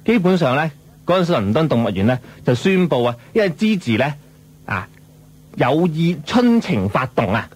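Casting: male radio co-host, Hong Kong Cantonese accent, higher-pitched, very lively intonation, playful joking storyteller. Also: normal speed, slightly rough. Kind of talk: casual comic radio banter.

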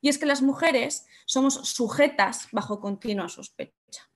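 A young woman with a fairly high voice lectures over a webcam from her room at home. She keeps it conversational and keeps throwing rhetorical questions at her listeners. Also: unhurried. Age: young adult. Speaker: speaker giving a talk. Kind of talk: online conference talk.

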